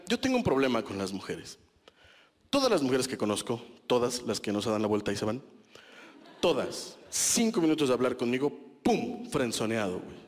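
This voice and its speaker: A man's voice: mid-range voice, long reverb tail. A Mexican man in his thirties doing a stand-up comedy set on a small club stage, speaking into a handheld mic in a conversational, self-mocking way with crude slang. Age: 30s.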